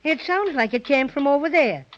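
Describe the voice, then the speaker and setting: A little toy bear from a vintage children's radio serial, friendly and brisk. The voice is very high and plain-spoken, on a muffled old recording. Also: lively intonation, fairly bright tone, slight background noise.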